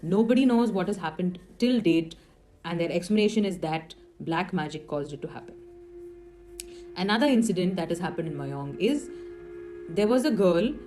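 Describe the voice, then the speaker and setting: A woman's voice: on the low side, lively intonation, normal speed. A woman telling eerie stories in a semi-scripted, explanatory way, with rhetorical questions and dramatic emphasis. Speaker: storyteller. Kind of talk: semi-scripted horror storytelling. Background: music under the voice.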